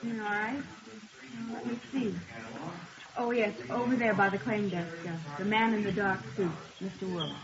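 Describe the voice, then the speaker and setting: A woman's voice: mid-range voice, fairly even intonation, moderate pace, close to an everyday voice. A woman playing a polite, helpful auction house attendant in a radio drama. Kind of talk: 1950s radio crime drama. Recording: muffled old radio recording, loud crowd.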